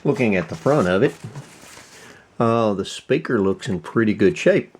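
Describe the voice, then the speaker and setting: A man giving a casual hands-on demonstration as a video host, thinking aloud in short, halting phrases. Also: fairly low pitch, expressive, slight background noise. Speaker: host of a radio repair video.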